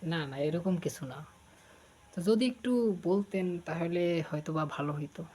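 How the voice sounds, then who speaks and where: A man's voice: on the high side, unhurried, darkish tone, slightly rough. A man with a Bangladeshi accent making a teasing, flirtatious casual prank phone call, talking coaxingly. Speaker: prank caller.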